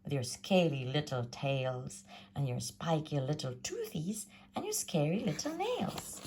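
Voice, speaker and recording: Lady doing a fierce grumbly dino mum from a storybook, slow, swoopy, horrified by everything. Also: sits low, very animated, darkish tone, slight background noise. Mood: proud, menacing. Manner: theatrical, grumbling.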